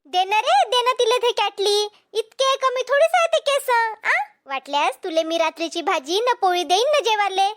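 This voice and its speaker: A woman's voice: very high voice, animated, moderate pace. A woman voicing a nosy, wheedling village housewife in a cartoon comedy, with a Vidarbha Marathi accent. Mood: pleading, insistent. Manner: wheedling, badgering. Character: pleading, nosy village housewives haggling for pots.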